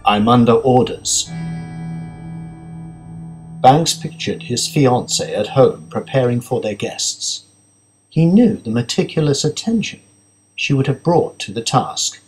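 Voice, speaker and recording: A male narrator reads a thriller audiobook. His voice sits at a medium pitch, and the delivery is scripted, dramatic storytelling. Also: lively intonation, slow, long pauses, darkish tone, soft background music.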